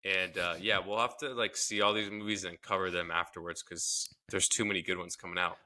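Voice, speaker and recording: A man's voice: sits low, fairly even intonation, a little fast, pushed and bright. A young adult man co-hosting a casual podcast chat, laid-back, laughing often and repeating his phrases. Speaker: podcast co-host. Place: home setup, remote recording.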